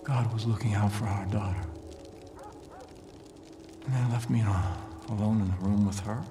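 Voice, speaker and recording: A grieving, devout cult leader played by a man delivers a game monologue over prominent music. His low voice is soft and halting, with a preacher's solemnity, and it often sinks toward a whisper. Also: steady tone, close to an everyday voice. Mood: hushed, reverent. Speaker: villain character. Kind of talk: dramatic game cutscene monologue.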